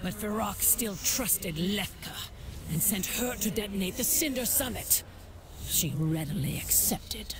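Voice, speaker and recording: A woman voicing a grave, resolute dragon warrior in a fantasy game, solemn and deliberate, with game music behind. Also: mid-range voice, lively intonation, background noise, natural voice.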